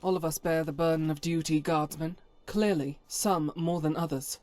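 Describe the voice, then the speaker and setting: A woman's voice: low voice, fairly even intonation, normal speed, darkish tone, natural voice. A woman acting a stern, devout cleric in a fantasy video game, solemn, formal and righteous. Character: zealous, stern female cleric, devout and unyielding.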